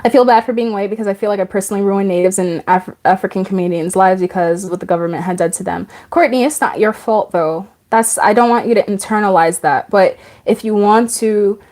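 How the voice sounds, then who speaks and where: A woman's voice: medium pitch, fairly quick, hardly any pauses, darkish tone. A woman of about twenty-five hosting a casual live stream, chatting, laughing often and reading out viewer comments.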